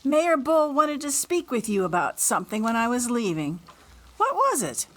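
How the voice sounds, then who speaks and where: Elderly grande dame hostess female actor, mystery radio play, animated intonation, authoritative and disapproving. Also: a little high, normal speed. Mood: measured. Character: imperious old grandmother, hostess of a grand house.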